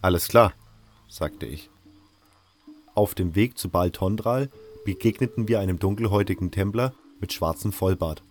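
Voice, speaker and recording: A man's voice: deep voice, moderate pace, darkish tone, faint music behind. A man narrating a fantasy audio drama in the first person, reading from a script.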